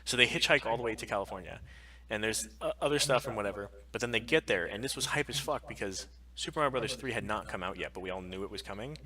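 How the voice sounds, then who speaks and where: A man's voice: fairly low pitch, lively intonation, fast talker, pushed and bright, distant mic. A man co-hosting a casual pop-culture live stream, talking in a sweary, enthusiastic, geeky way. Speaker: podcast co-host on a live stream.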